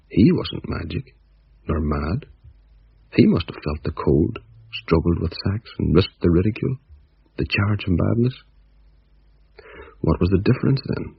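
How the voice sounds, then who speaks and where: A man narrating a radio drama in a measured, reflective storytelling manner. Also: deep voice, no echo. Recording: husky voice on dull radio recording.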